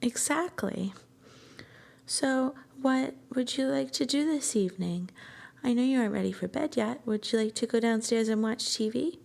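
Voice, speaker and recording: A woman playing an affectionate, shy young student girlfriend in an intimate ASMR roleplay, soft-spoken and breathy, often slipping toward a whisper. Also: a little high, normal speed. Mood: tender, caring. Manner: tender, coaxing, bashfully hesitant.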